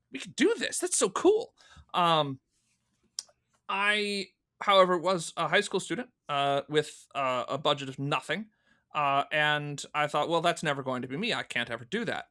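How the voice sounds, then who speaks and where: A man's voice: fairly high pitch, pushed and bright. A man in his thirties speaking as an interview guest, telling stories casually and acting out remembered thoughts in asides. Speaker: podcast guest, audio drama producer.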